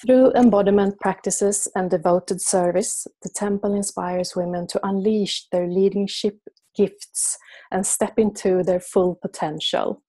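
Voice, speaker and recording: A woman with a Swedish accent hosting an interview, welcoming and gentle. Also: medium pitch, fairly even intonation, a little slow. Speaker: host.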